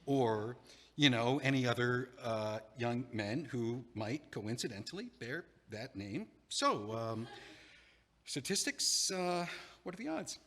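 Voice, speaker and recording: A man's voice: medium pitch, lively intonation, slow, fairly bright tone, heavily acted. An anxious young man rambles and stammers, restarting his sentences over and over. His voice sits mid-range and carries a long hallway reverb. This is an audio drama performance. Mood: nervous.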